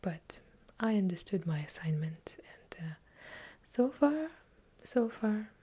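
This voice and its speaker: Slow breathy whispering Eastern European woman. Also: medium pitch, lively intonation. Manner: soft unvoiced whispering, unhurried, chatty with small self-corrections. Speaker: ASMR creator answering viewer questions. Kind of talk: whispered ASMR Q&A.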